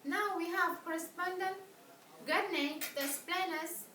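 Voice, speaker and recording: A woman playing a very high-voiced admiring show host. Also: unhurried, sharp tone, heavily acted. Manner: formal, effusive, non-native phrasing. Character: polite, admiring female talk show host. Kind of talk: amateur acted talk show. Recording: echoey room, distant mic, faint noise.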